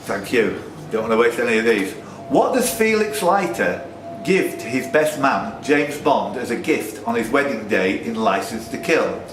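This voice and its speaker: A man's voice: medium pitch, animated, moderate pace, few pauses, music in the background. A man hosting a live quiz, reading out questions and bantering in a teasing, jokey way. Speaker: quiz master. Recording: roomy, noisy recording from a distance.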